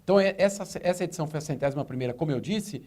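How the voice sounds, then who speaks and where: A man's voice: on the high side, animated, racing, darkish tone. A man with a Brazilian Portuguese accent, a retail consultant answering interview questions in a fluent, explanatory way on a business TV show. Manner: fluent, explanatory, tells anecdotes.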